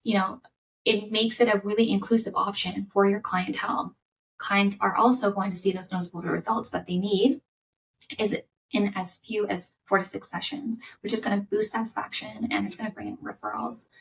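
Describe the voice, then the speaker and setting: A woman hosting a solo business podcast, giving conversational coaching advice with a slightly raspy voice from coming down sick. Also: mid-range voice, steady tone, normal speed, distant mic, low-quality recording. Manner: conversational, repeats words and restarts, upbeat coaching.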